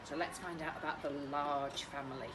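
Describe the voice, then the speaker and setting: A British woman, a primary teacher, reading a picture book to young children with expressive, carefully phrased delivery. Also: low-pitched, moderate pace, heavy background noise.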